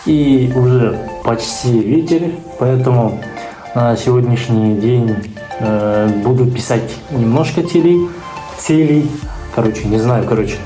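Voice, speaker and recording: Halting man vlogging. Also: medium pitch, music in the background, low-quality recording. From Kazakhstan. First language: Kazakh. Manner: halting, searching for words, many fillers. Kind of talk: casual vlog talk.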